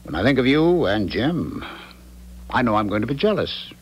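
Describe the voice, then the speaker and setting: An actor playing a smug, callous married philanderer in a 1970s radio drama, patronizing and cajoling. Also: male voice, mid-range voice, very animated, moderate pace, slightly rough, muffled recording. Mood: smug, condescending. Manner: patronizing, cajoling, hesitant when cornered.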